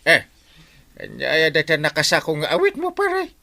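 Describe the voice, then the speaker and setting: A man with an Ilocano accent playing an excitable, grumbling village captain in a radio comedy, spluttering and exclaiming. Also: a little high, moderate pace, creaky, dry recording, full character voice. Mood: grumbling, startled. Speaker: radio drama actor.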